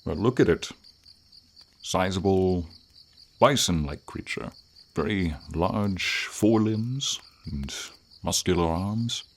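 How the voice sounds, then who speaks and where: A man voicing a friendly, noble silver dragon in an ASMR fantasy roleplay, speaking in an unhurried, thoughtful and slightly formal way with pauses. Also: low voice, full character voice. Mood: quietly proud. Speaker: voice actor. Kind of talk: ASMR fantasy roleplay, slow intimate storytelling. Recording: ambient nature sounds, crickets, light rain.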